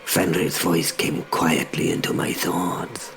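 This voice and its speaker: A man giving measured, dramatic first-person narration of a story in short phrases. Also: low voice, steady tone, vocal fry, fairly bright tone.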